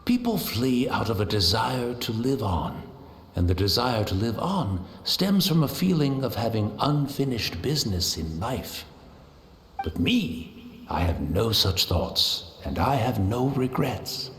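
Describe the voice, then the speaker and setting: A serene, deferential tea master from a video game. His mid-pitched voice moves expressively through courtly, reflective phrases. It echoes slightly, over faint noise. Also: lively intonation, unhurried, fairly bright tone. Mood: resigned, wistful. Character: humble, serene blind tea master, devoted retainer.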